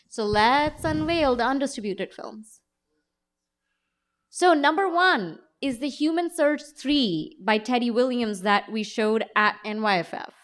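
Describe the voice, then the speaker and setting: A woman's voice: mid-range voice, fairly bright tone. A woman co-hosting a live panel, presenting to an audience in a conversational way. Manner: speaks to an audience. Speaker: co-host and magazine editor. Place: live event on stage before an audience.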